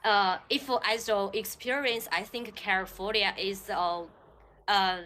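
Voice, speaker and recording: A woman's voice: medium pitch, fairly even intonation, unhurried, sharp tone. A Chinese woman speaking English as a second language, agreeable and hesitant, with many fillers. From China. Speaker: guest, English learner. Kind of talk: casual conversation.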